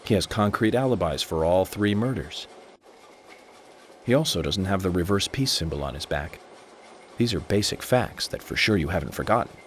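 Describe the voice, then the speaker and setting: An actor with an American accent playing a composed, confident FBI agent in a video game, speaking in a measured, deliberate way. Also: male voice, sits low, animated, slight background noise. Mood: calm, certain. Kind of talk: dramatic game cutscene acting.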